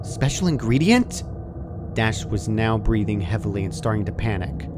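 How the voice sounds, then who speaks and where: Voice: mid-range voice, flat delivery, a little fast, noisy background. A narrator reading a scripted horror fan fiction story aloud.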